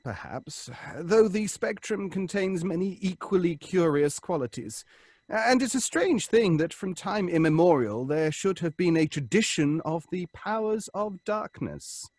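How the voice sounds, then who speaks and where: An actor playing an earnest, pedantic professor leading a seance in a radio drama, speaking precisely with hesitant ums. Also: male voice, high voice, animated, a little slow, a little mellow, no echo, clean studio sound. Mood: earnest, commanding. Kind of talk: old-fashioned radio drama acting.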